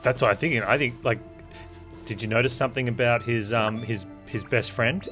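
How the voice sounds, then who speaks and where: A man's voice: medium pitch, fairly even intonation, fairly quick, fairly bright tone, soft background music. A man giving casual, musing commentary as he streams a video game, thinking aloud.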